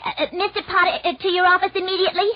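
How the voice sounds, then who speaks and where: An actress playing a busy, polite department store operator with brisk, clipped patter, in 1940s radio comedy style on a noisy old recording. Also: female voice, very high voice, flat delivery, muffled recording. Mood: flustered.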